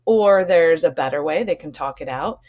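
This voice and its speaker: A woman's voice: low-pitched, animated, normal speed, darkish tone. A woman elementary school teacher explaining vocabulary to children in an instructive way, often checking in with short tag questions.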